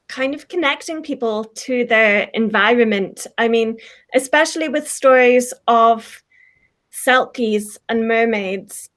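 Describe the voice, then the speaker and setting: A woman who co-hosts a folklore podcast, chatting in an enthusiastic, reflective way with frequent ums. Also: on the high side. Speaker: folklore podcast co-host, guest. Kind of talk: casual chat.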